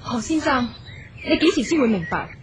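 Guarded, slightly high-voiced proud woman. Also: expressive, moderate pace, background noise. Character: guarded, proud woman hurt by her father. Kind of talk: Cantonese radio drama acting. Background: music.

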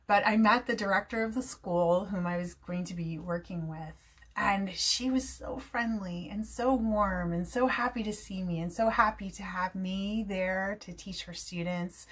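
A woman's voice: medium pitch, fairly bright tone. A middle-aged woman from New Jersey with an American accent, an English teacher talking cheerfully and expressively to the camera from a room at home. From New Jersey, USA.

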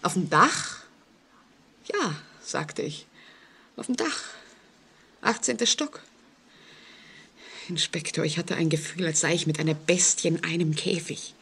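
An actress playing a frightened woman in an old radio play, speaking anxiously and haltingly with dramatic intensity. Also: on the low side, fairly bright tone, slightly rough, exaggerated voice. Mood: haunted, dread. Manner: anxious, dramatic, halting, sometimes dropping to a whisper. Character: frightened single woman telling police of a stalking convict. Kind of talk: 1940s German radio play acting.

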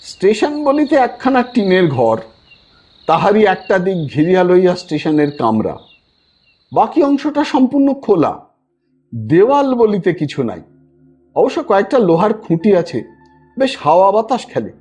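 Male audiobook narrator, high-pitched and husky, theatrical literary storytelling over background music. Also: big pitch swings, moderate pace, pauses often, a little mellow. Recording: music bed behind the voice.

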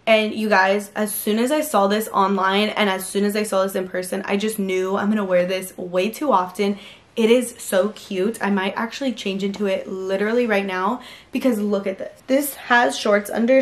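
A woman in her twenties doing a chatty, gushing try-on haul review from her bedroom, filled with "like". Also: medium pitch. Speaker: fashion vlogger. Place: pink-lit bedroom.

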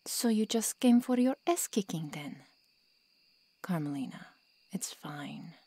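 A woman playing a curt, menacing female vampire in an ASMR roleplay, cold and commanding, with a husky, half-whispered voice. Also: on the low side, lively intonation, measured pace, warm tone. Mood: impatient.

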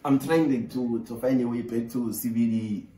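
A man's voice: mid-range voice, moderate pace. South African man in an echoey room. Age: 20s. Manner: animated, exasperated, pleading, swearing. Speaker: prankster. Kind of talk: casual slangy prank phone call. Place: small bare bedroom indoors. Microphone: camera a short distance away. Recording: roomy echo, distant from mic.

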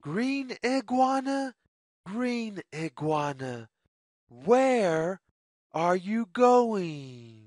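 A storyteller reading a simple children's story aloud slowly and deliberately, phrase by phrase, in a sing-song way. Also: mid-range voice, sing-song, darkish tone, dry recording, studio quality.

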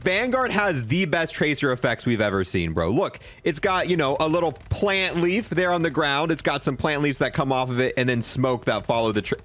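A man's voice: a little high, animated, moderate pace, hardly any pauses, a bit bright. A young man giving casual, enthusiastic, slangy commentary as he reviews a video game. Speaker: gaming video creator.

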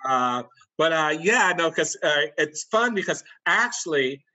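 A man's voice: on the high side, lively intonation, unhurried, sharp tone. A middle-aged man speaking as a guest on a video call from home, rambling with many restarts and fillers. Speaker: dialogue coach and actor, guest. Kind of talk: casual interview over video call. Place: home room indoors. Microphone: video call webcam.

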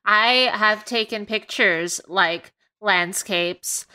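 A woman reading amateur fiction aloud to a comedy panel in a deliberate way. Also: medium pitch, pushed and bright, smooth. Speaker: podcast reader.

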